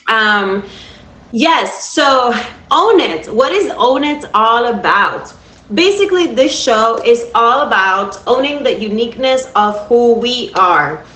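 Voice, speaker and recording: A woman's voice: fairly high pitch, expressive, takes it slowly, a bit bright. A woman in her thirties from Cuba with a Cuban Spanish accent, hosting a livestream in an upbeat, chatty way and talking straight to her viewers. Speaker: livestream host. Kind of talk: casual livestream talk to viewers. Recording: echoey room.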